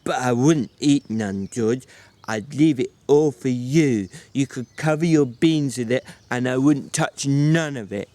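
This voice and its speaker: A man's voice: mid-range voice, a little slow, mellow, dry recording, natural voice. A man voicing a timid, simple-minded big man who pleads stubbornly and childishly in a dramatic novel reading. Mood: apologetic.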